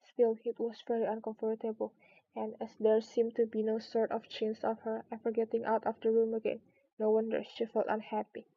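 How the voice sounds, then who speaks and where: A woman's voice: on the high side, completely flat delivery, moderate pace, muffled recording. A woman narrating a children's storybook, reading it aloud.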